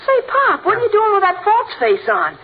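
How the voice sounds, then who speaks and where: An actor playing a curious, literal-minded teenage son in 1940s American radio comedy. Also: male voice, very high-pitched, huge pitch swings, a little fast, highs cut off, heavily acted. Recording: thin, noisy vintage radio recording.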